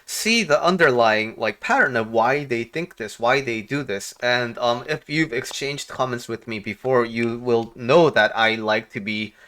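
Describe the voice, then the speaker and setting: A man from Korea talking casually and reflectively to viewers as a life coach, halting often and posing rhetorical questions. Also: mid-range voice, bright, forceful tone. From South Korea. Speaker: life coach and video host.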